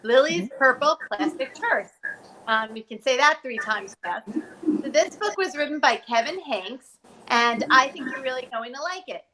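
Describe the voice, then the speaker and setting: A woman with a fairly high, very bright and forward voice reads a children's storybook aloud. She sounds like a teacher, expressive and speaking directly to her young listeners. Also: moderate pace, a little hiss or hum, low-quality recording. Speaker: teacher reading aloud to pupils.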